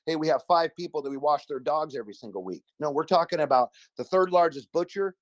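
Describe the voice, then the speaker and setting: A man's voice: a little high, animated, fast talker, no echo. A man, a guest investor giving a casual, emphatic and folksy endorsement over a video call.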